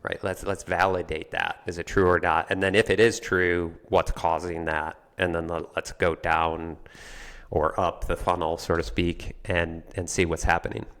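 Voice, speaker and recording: Very deep-voiced man explaining conversationally. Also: level tone, normal speed, a bit bright. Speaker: podcast guest explaining marketing strategy.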